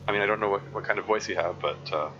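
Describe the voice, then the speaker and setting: A man with a deep bass voice held in its upper register, chatting casually over a game stream and rambling with many restarts and chuckles. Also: rapid-fire. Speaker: let's play streamer. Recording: muffled lo-fi mic with steady hiss.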